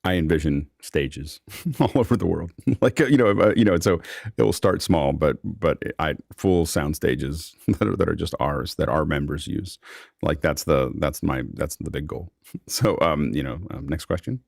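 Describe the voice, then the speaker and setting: Very deep, gravelly-voiced man. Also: a little mellow, dry recording, clean studio sound. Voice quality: gravelly, creaky. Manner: halting, repeats words and restarts mid-sentence. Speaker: host of an online panel discussion. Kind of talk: casual, off-the-cuff moderating. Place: online video call, close dry sound.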